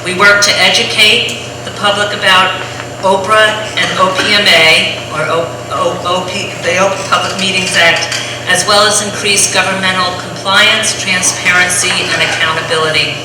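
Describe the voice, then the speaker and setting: A woman's voice: on the low side, steady tone, background noise. Woman speaking far off in an echoey hall. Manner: measured, with frequent ums. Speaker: foundation president welcoming a seminar. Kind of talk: prepared opening remarks. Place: large school hall. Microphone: distant room mic.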